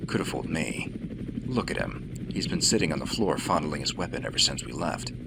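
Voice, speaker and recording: A man playing a very deep, gravelly, sardonic soldier. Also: animated, brisk, noisy background, full character voice. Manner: dry, cynical, laconic. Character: gruff, sardonic veteran male special-forces soldier. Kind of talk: English-language radio drama acting.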